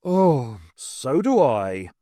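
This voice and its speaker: Guy putting on an excitable academic fella in a story, easily flustered, exclaiming, voice jumps up, dry sound. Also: medium pitch, very slow, no room sound. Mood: weary relief. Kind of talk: audiobook character voice.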